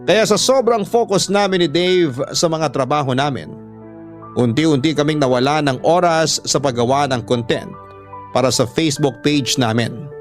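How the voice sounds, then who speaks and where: Filipino guy reading out a radio drama story, slightly high voice, music under it. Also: normal speed. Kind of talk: scripted first-person narration of a listener's letter. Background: background music.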